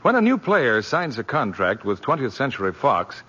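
A man's voice: mid-range voice, very animated, normal speed, bright, forceful tone, breathy edge. A man announcing in the formal scripted style of 1940s network radio. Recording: dull, very noisy vintage broadcast with crowd.

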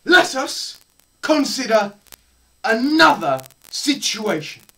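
A young man giving a dramatised lecture read aloud, theatrical and emphatic, with deliberate pauses, in a small room. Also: extremely high pitch, sing-song, a bit bright. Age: teens to young adult. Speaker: presenter. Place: small bare room indoors. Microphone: camera a short distance away.

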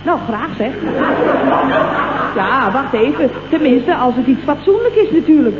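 An actress from the Netherlands with a Dutch accent, playing a chatty, gleeful, teasing office colleague in a comic radio play, gushing in exclamations. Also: female voice, sits high, lively intonation, measured pace, over a noisy crowd. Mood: coy, curious. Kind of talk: late 1960s comic radio play acting. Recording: tinny, phone-like old radio recording, faint noise.

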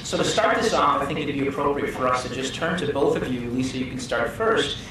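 A man's voice: medium pitch, animated, brisk. Man moderating a panel in an echoey room. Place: conference room, seated at panel table. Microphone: table mic on stand. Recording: echoey room, mic at a distance, noisy.

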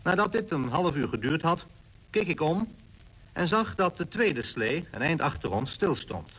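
A man's voice: a little high, big pitch swings, measured pace, a bit bright, husky, heavily acted, poor audio. A man playing an expedition member who recounts a hard trek in a scripted radio drama. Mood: tense recounting.

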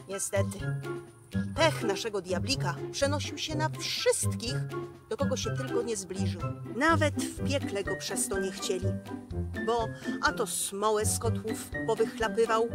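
A woman in her thirties telling a children's fairy tale in an expressive, theatrical, folksy storyteller's manner. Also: a little high. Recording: music behind the voice. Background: music.

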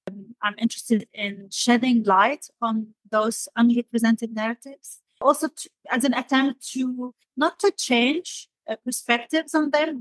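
A woman's voice: on the high side, no echo, rough recording. A woman from Syria in English, speaking thoughtfully as an interview guest and often searching for words. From Damascus, Syria. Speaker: podcast guest, designer.

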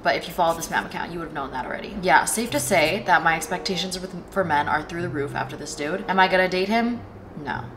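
A woman's voice: fairly low pitch, fast talker, noisy background. A nineteen-year-old woman talking casually to camera as a vlogger, candid and slangy with some swearing. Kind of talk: casual chatty vlog to camera.

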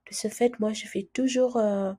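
Soft-spoken woman with a cold. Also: mid-range voice, fairly even intonation, moderate pace, husky. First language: French. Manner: chatty, hesitant with frequent fillers and restarts. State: has a cold, speaking softly. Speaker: immigration channel host. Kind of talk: screen-share tutorial, casual explaining.

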